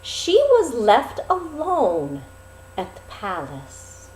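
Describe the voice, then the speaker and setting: A woman narrating a fairy tale expressively, with dramatic, deliberate phrasing and pauses. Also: on the high side, wildly swooping pitch, a little mellow, slight background noise. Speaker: storyteller. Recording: roomy, echoing sound.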